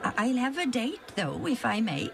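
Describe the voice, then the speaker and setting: A woman's voice: a little high, big pitch swings, normal speed, creaky, noisy background, pitched above the performer's own voice. A woman voicing Junius, a polite and fussy man in a storybook Bible tale, who stammers and hesitates. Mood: hesitant.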